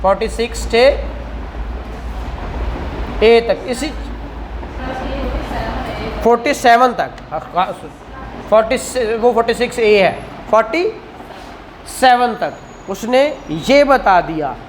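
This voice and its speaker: A man's voice: very high voice, animated, slow pace, pauses often, noisy background. A man with a Pakistani accent giving a classroom law lecture, didactic, often prompting listeners with questions and repeating the answers. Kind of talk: classroom exam-prep lecture.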